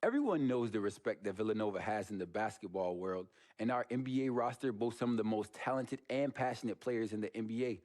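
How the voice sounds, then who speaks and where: An actor playing a flattering, earnest college basketball recruiter in a game, making a persuasive, polished pitch. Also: male voice, fairly quick, few pauses, close to an everyday voice.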